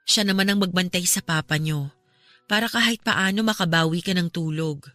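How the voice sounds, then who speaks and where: A woman's voice: lowish voice, moderate pace, sharp tone, dry recording, clean studio sound, soft background music. A woman playing a caring, steadfast young woman who consoles and encourages, in an earnest radio drama style. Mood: gently comforting. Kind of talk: Filipino radio drama acting.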